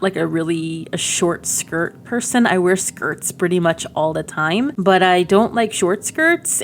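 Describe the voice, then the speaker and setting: Middle-aged woman, slightly low voice, chatty gaming creator, informal and rambling, faint rumbling noise. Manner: rambling, giggly asides, cutesy slang. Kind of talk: casual, chatty game demo commentary.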